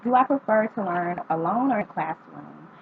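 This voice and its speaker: A woman in her twenties from North Carolina chats through a list of questions for her vlog, reading each aloud before answering with easy ums. Her voice sits a little low. The audio is thin and tinny, like a phone line, with a faint hiss behind it. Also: lively intonation, moderate pace, creaky. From North Carolina, United States. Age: 20s. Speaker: vlogger. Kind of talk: casual answering of tag questions.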